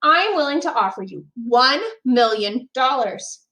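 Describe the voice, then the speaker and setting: A woman's voice: on the high side, expressive, a little slow, pushed and bright, roomy sound, full character voice. A woman voicing a haughty, hot-tempered archduke in a storybook, speaking in an imperious, emphatic way. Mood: demanding.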